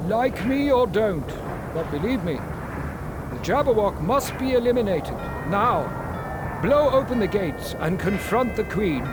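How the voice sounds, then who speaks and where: A man voicing a cryptic feline guide in a video game, solemn, insistent and measured. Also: high voice, big pitch swings, noisy background, heavily acted. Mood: stern, urgent. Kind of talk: video game character acting.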